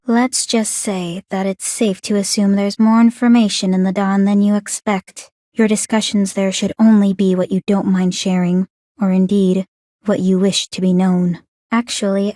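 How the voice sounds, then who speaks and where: A woman's voice: medium pitch, dark tone, no room sound, clean studio sound, lightly acted. A woman playing an aloof, bookish young warrior maiden in a video game, speaking in a composed, formal, precise and detached way. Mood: detached, calculating. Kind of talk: English video game voice acting.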